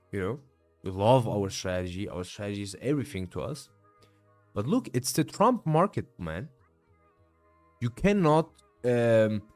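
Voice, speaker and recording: A man's voice: fairly low pitch, a little mellow. A man in his late twenties casually talking through his trades on a live stream and answering chat, with many fillers, tired after a long session. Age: 20s. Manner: casual, many fillers, calls viewers bro. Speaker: day trading streamer.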